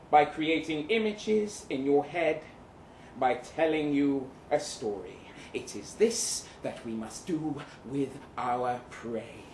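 An actor playing a boastful, lecherous Russian soldier in a florid, theatrical live story reading, savouring each phrase. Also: male voice, a little high. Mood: smug, instructive. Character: boastful, lecherous crippled Russian soldier advising lovelorn friend. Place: indoor live reading event in London venue. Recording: roomy live venue, background noise.

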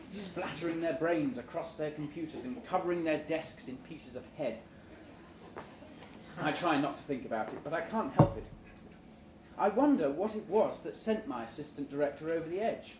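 A man giving a live, measured, deadpan reading of satirical fiction at an indoor venue. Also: on the high side. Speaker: short story reader. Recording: roomy, noisy live venue recording.

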